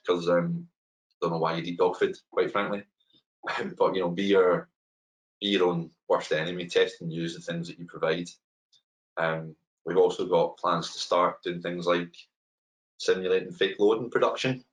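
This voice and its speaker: A middle-aged Glaswegian man talks through a webinar presentation over a webcam. His voice sits very low and he speaks in a loose, chatty way, full of ums and restarts. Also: poor audio. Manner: relaxed and conversational, frequent ums and repeated words. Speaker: head of open banking technology, webinar speaker. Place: home room, indoors. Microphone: webcam on a video call.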